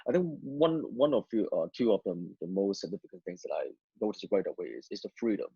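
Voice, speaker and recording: A man from Hong Kong whose first language is Cantonese, speaking as an interview guest and telling his story in halting sentences with many repeated words and fillers. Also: on the low side, sing-song, mellow, breathy edge, no room sound, lo-fi sound. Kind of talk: testimony interview.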